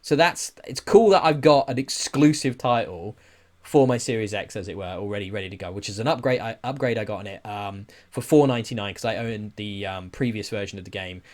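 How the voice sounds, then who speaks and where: A man's voice: on the low side, fairly quick, darkish tone. A British man hosting a podcast, chatting casually through gaming news. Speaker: podcast host.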